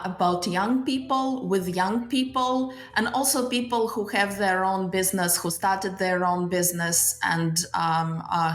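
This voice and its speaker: A woman's voice: medium pitch, a bit bright, reverberant. A woman hosting a podcast interview, polite and hesitant, asking questions with many fillers. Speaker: podcast host. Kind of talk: conversational interview.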